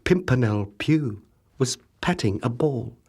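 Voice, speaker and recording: A man narrating a children's picture book in rhyme, with dramatic, measured, expressive storytelling. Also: mid-range voice. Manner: dramatic, measured storytelling with rhythmic rhyming delivery. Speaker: audiobook narrator. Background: music.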